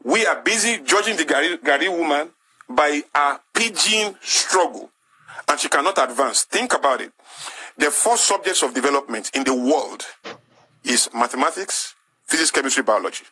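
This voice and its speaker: A man's voice: a little high, animated, moderate pace, pushed and bright, poor audio. A man with a Nigerian English accent making an impassioned talk-show argument, emphatic and rhetorical, repeating his key phrases. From southwest Nigeria.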